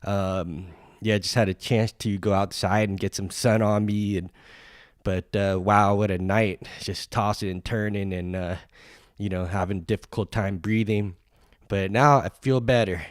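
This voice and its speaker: Stuffed-up man with a deep flat voice. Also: normal speed, dry recording, studio quality. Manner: rambling, many fillers and restarts. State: stuffed up from a cold. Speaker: podcast host. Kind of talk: solo podcast introduction.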